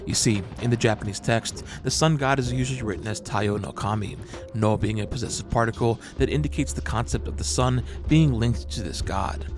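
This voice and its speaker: A man reads a scripted breakdown in an even, analytical tone, as if walking the listener through evidence point by point. His voice sits at an ordinary middle pitch for a man. A clear music bed runs underneath the whole time. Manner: measured, analytical explaining. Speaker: manga analysis channel host. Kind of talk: scripted narration. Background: background music.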